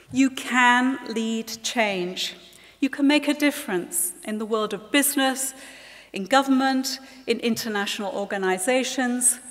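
A woman in her sixties giving a formal, earnest speech to graduating students from a lectern. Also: on the high side. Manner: measured, earnest, addresses the students directly. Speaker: guest speaker at a leavers' ceremony. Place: large hall. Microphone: lectern microphone. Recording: reverberant hall.

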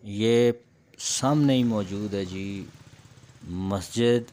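A man's voice: lowish voice, very slow. A middle-aged man, chatting like a tour guide while he films a train journey on a phone. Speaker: vlogger. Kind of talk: travel vlog narration. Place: outdoors at railway stations in rain. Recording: loud outdoor noise.

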